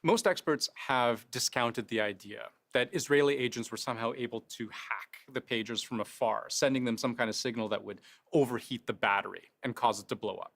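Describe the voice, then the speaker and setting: A man's voice: mid-range voice, a little fast, a bit bright. A man hosting a news explainer in a conversational scripted style, posing rhetorical questions and stressing key phrases. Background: faint music.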